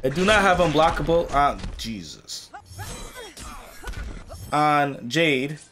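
A young man commentating on a mobile fighting game, excitable and losing his voice, seated at a home gaming setup. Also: a little high, slow pace, soft background music. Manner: excitable, swings from muttering to yelling at the game. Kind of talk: casual gameplay commentary.

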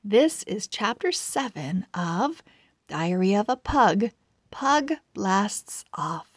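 A woman narrating a children's storybook aloud, expressive and carefully articulated. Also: medium pitch, no room sound, clean studio sound. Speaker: children's book read-aloud host.

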